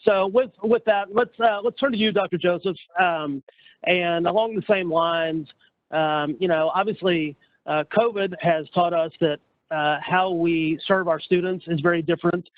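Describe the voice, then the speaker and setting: A man moderates a virtual town hall in a friendly, halting way, often stumbling and restarting his sentences. His voice sits fairly high for a man, and it comes through a dry, phone-like video-call line.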